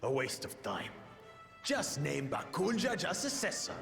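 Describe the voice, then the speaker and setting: A man's voice: fairly high pitch, huge pitch swings, unhurried, creaky, a bit bright, exaggerated voice. An actor playing an impatient, dismissive man at a royal court in a video game. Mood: scornful, impatient. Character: impatient, dismissive male courtier scorning the contest. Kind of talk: scripted video game voice acting. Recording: echoing hall with faint music.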